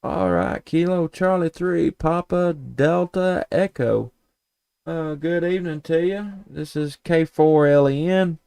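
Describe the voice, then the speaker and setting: A man's voice: a little high, slow, creaky, darkish tone, clear, resonant tone, no echo. A man with a Southern US accent chatting and calling as an amateur radio operator, folksy and polite. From Tennessee, United States. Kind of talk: casual ham radio chat and calling.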